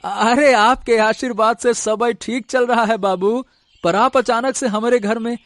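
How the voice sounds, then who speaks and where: A man's voice: very high voice, fairly even intonation, measured pace, fairly bright tone, dry recording. A man voicing a timid, deferential poor farmer in a comic cartoon story, pleading and easily flustered. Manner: pleading, stammering when flustered.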